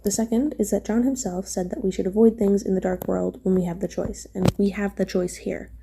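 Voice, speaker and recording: A woman's voice: mid-range voice, creaky, dark tone, slight background noise. A woman reading a prepared listener message, setting out her points in an earnest, orderly way. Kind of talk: prepared, scripted plea.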